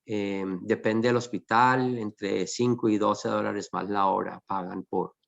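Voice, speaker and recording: Low, gravelly man. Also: level tone, unhurried, fairly bright tone, highs cut off. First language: Spanish. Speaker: host. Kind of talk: conversational webinar interview.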